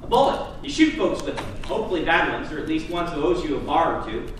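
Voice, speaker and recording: A man's voice: on the high side, very animated, quick pace. A man voicing a cheeky, irreverent sidekick with slangy, teasing talk in a live story reading. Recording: echoey room, far mic, noisy.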